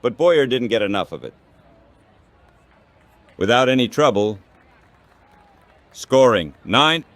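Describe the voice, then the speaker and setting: A man's voice: mid-range voice, animated, takes it slowly, long pauses, a bit bright, no echo. A synthetic text-to-speech man's voice calling baseball play-by-play in a radio style, in short clipped phrases.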